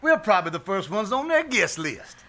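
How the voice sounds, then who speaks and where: A man's voice: high-pitched, big pitch swings, normal speed, pushed and bright, exaggerated voice. A man playing an easygoing, wisecracking husband and father in a 1940s-style radio comedy. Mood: dismissive, reassuring. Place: live small theater stage.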